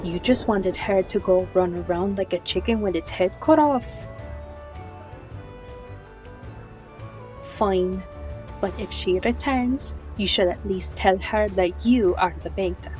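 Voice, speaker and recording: A woman's voice: medium pitch, measured pace, darkish tone, faint music behind, lightly acted. A woman playing a friendly, wry bartender in a fantasy audio roleplay, chatty and familiar in manner. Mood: teasing, scolding.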